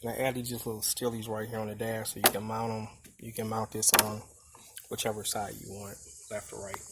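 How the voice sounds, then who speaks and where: A man with a fairly low voice gives a casual, unscripted gear walkthrough. His delivery stays very flat and level as he explains things informally. Clear background noise runs behind him on the handheld video recording. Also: a little fast. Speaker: motorcycle vlogger showing his gear.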